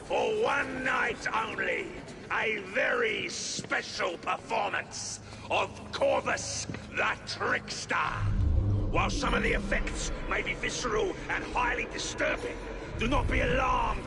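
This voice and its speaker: A man's voice: very high-pitched, creaky, exaggerated voice. An actor playing a flamboyant, menacing Victorian gang boss and showman in a video game, with a theatrical, grandiose delivery. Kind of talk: dramatic video game acting.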